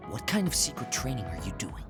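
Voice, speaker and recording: Anime game role: proud, insecure young soldier, brusque and intense, widely swinging pitch, music behind. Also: male voice, very animated, fairly quick. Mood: guarded, demanding. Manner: brusque, intense, self-critical. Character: proud, insecure young male platoon fighter. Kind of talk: English anime game dub acting.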